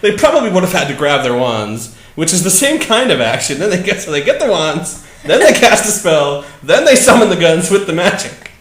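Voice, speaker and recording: A man doing a comedic dramatic reading of bad fan fiction, with deadpan asides and casual, giggly banter. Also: on the high side, fairly quick, few pauses, echoey room.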